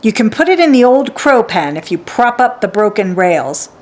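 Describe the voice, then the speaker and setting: Woman reading as a curt, grasping storybook man, lively pitch. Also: normal speed. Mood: gruff, dismissive. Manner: curt, dismissive. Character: gruff, stern, grasping adult man. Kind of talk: children's storybook read aloud.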